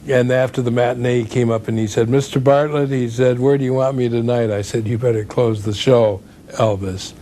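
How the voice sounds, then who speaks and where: A man's voice: mid-range voice, steady tone, a little fast, mellow, a little hiss or hum. An older man reminiscing in an interview, telling show-business anecdotes conversationally with quoted exchanges. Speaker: interviewee, former radio host.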